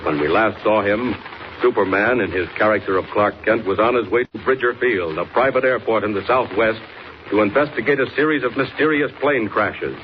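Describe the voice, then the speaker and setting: A man's voice: fairly low pitch, a little fast, a little hiss or hum. Grandiose male announcer, muffled old radio. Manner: grandiose, emphatic. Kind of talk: dramatic 1940s scripted radio narration.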